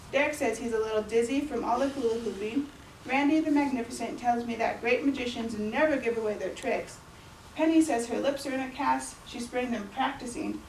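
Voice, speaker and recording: A woman's voice: a little high, a little mellow, slight background noise. Young woman reading aloud to children. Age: young adult. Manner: friendly storytelling, acts out quoted lines. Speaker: student librarian giving a booktalk. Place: elementary school classroom. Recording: echoey classroom from far off.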